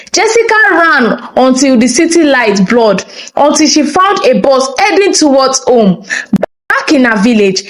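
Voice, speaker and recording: A woman narrating a scripted folktale in a dramatic, expressive and deliberate storytelling style. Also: high-pitched, low-quality recording.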